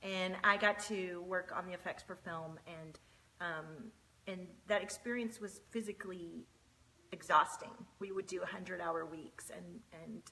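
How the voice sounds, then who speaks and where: Bright-voiced woman live-streaming. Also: fairly low pitch, unhurried, a little hiss or hum, low-quality recording. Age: 40s. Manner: chatty, greets viewers by name, many fillers. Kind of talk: casual live-stream chat.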